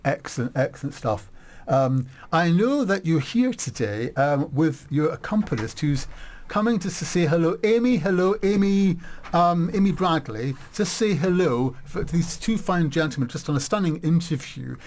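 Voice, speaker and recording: A man's voice: on the high side, normal speed. Male radio host in the studio, chatty and bubbly, keeps restarting his sentences. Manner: chatty, animated, warm enthusiasm with frequent restarts. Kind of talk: live radio interview.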